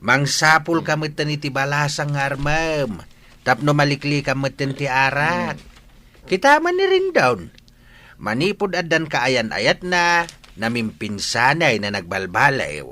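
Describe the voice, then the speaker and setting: A man playing an older village father, excitable, fond and nagging, in a radio-style drama. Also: on the high side, unhurried, heavily acted. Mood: exhorting.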